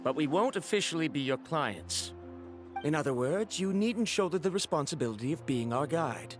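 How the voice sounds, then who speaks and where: An actor playing a formal, stubborn scholarly traveller in a video game, speaking in polite, measured phrases. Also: male voice, on the high side, animated, faint music behind, lightly acted. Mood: formal persuasion.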